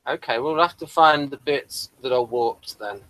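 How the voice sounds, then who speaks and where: A man's voice: medium pitch, normal speed, sharp tone, dry recording, low-quality recording. A man hosting a casual review discussion, speaking hesitantly and probing with a contrarian bent. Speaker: podcast host reviewing TV episodes.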